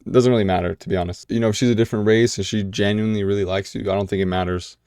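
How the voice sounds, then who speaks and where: A man's voice: fairly low pitch, steady tone, very fast, darkish tone, clean tone, dry recording, studio quality. A young American man answering viewer questions in a casual vlog, talking loosely with lots of fillers and restarts. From United States. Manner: casual, filler-heavy with many "you know"s and restarts.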